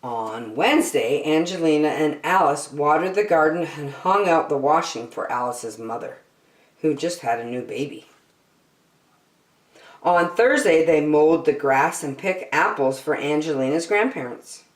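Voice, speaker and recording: Female storybook narrator: deep-pitched, highly expressive, dramatic phrasing for kids, roomy echo. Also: unhurried. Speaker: children's story reader. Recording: echoey, roomy sound.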